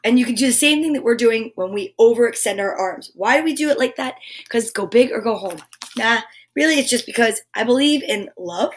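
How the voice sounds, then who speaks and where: A high-pitched woman hosts a children's story time. She is effusive and encouraging, moving between chatty asides and reading aloud, with a playful way of addressing her young listeners. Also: expressive, a little fast. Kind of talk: live children's show chat and read-aloud.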